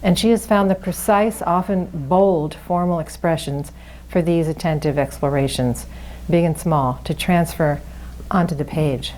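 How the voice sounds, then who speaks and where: A woman with a soft, low-pitched voice moderates a public event. She reads a formal introduction in measured, literary phrasing. A faint hiss of noise runs underneath.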